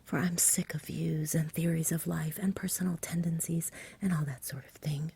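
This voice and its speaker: A woman voicing an eager, blunt, practical young boy in a breathy whisper, brisk and no-nonsense. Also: low voice, steady tone, warm tone, full character voice. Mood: exasperated, practical.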